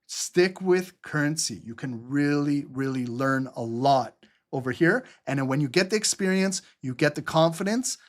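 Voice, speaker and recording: A man runs a live trading webinar over a computer mic. His voice sits a little high, and he talks loosely and chattily, with plenty of ums and restarts. He likes to joke at his own expense. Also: expressive, normal speed. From Albanian heritage. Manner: rambling, chatty, self-mocking, many fillers.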